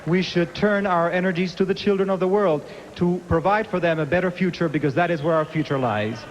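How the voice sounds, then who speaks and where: A man giving a live interpretation of a contestant's answer in a measured, plain way. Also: high voice, muffled recording.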